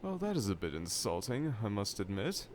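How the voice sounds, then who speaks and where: A man's voice: lowish voice, very animated, moderate pace, a little mellow. A man playing a dejected, pompous evil scientist villain from a cartoonish game, delivering a theatrical, self-pitying roleplay monologue to the listener. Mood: offended. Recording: clear background laboratory ambience noise.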